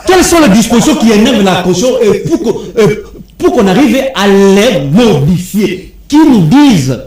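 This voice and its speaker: A high-voiced Congolese man argues politics in an echoey room with other voices around him. His delivery swings widely in pitch, insistent and combative, with phrases repeated for emphasis. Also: big pitch swings, moderate pace, a little mellow. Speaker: political debate guest. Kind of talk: heated political debate.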